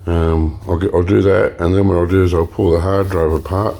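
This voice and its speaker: Male hands-on demo host, deep and dark-toned, relaxed and a bit hoarse, thinks aloud while he works. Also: fairly quick. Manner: relaxed, explains while working, frequent fillers and restarts. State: slightly hoarse, clearing throat. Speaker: e-waste recycling channel host. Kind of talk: casual hands-on demonstration.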